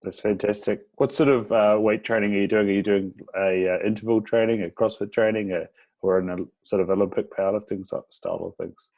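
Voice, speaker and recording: Deep-voiced inquisitive podcast host man. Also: fairly even intonation, brisk, a little mellow. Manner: inquisitive, asks follow-ups. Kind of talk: interview questions.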